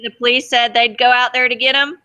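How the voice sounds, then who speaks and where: A woman reading a storybook aloud to children as a teacher, expressive and dramatic, stopping to ask them questions. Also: on the high side, brisk, clean tone. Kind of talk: animated storybook read-aloud to a class. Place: school library with children.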